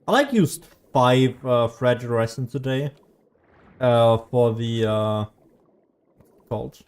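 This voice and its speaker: A man's voice: mid-range voice, expressive, slow, darkish tone, clear, resonant tone. A man giving casual, rambling video game commentary, often losing his train of thought like a streamer talking as he plays.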